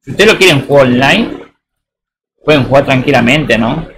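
A man's voice: mid-range voice, expressive, moderate pace, a bit bright, slight background noise, low-quality recording. A man with an Argentine accent from Tucumán, chatting casually and rambling through a live game stream. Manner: rambling, slangy, says boludo often. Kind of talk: casual live-stream chat while playing.

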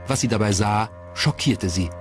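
A man's voice: on the low side, moderate pace, over music. A man reading scripted documentary narration as the narrator.

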